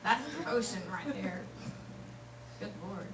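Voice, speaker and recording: A woman's voice: mid-range voice, unhurried, a crowd in the background. Woman from texas joking around with teens, echoey hall, mic far off, noisy. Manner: lively, joking, acts out voices. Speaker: youth speaker. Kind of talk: casual talk to a youth group.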